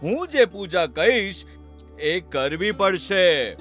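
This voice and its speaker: A man's voice: sits high, big pitch swings, takes it slowly, a bit bright, soft background music, full character voice. A man voicing a grandiose, scheming fake holy man in a children's cartoon, commanding and given to chanting. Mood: pompous, commanding.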